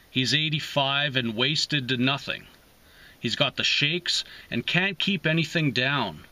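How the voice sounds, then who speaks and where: A man in his thirties reading poetry aloud with deliberate pauses between short phrases, as host of a reading series, recorded inside a parked car. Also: mid-range voice, pushed and bright. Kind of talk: slow, emphatic poetry reading.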